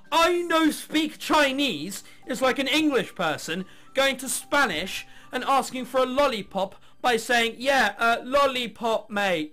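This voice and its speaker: A man's voice: extremely high pitch, sharp tone. A man doing a let's play of a visual novel, narrating and throwing in sarcastic, mock-exasperated asides. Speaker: gamer reading and commenting on a visual novel.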